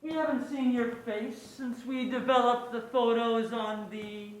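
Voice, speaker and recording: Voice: mid-range voice, fairly even intonation, a little slow, natural voice. A student actor playing a blunt, suspicious police inspector in a noir stage play. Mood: suspicious, pressing. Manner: blunt, clipped, slangy. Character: brusque, suspicious police inspector, needling a detective. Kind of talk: 1940s noir stage acting. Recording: roomy stage echo, faint background noise.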